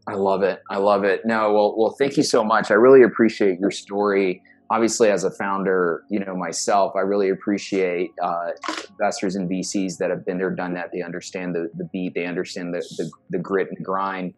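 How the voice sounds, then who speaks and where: A man's voice: sits low, level tone, fairly quick. A man hosting a health podcast, enthusiastic and rambling, often repeating words as he asks questions. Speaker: podcast host. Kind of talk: hosting an interview.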